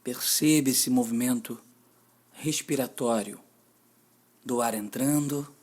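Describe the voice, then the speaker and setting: Man, Brazilian Portuguese accent, guided mindfulness instructor, gentle deliberate delivery, long pauses, medium pitch. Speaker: meditation instructor.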